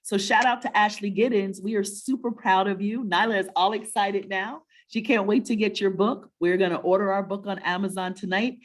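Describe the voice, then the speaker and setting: A woman co-hosting a children's story webinar, chatting casually in a warm, encouraging, motivational way and often checking in with questions. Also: medium pitch, steady tone, normal speed, few pauses.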